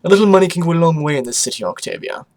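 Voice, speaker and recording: A man's voice: on the high side, sing-song, rapid-fire, darkish tone, pitched above the performer's own voice. A man voicing a suave, urbane vampire pony father with a genteel, teasing manner in a story reading. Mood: smooth, assured.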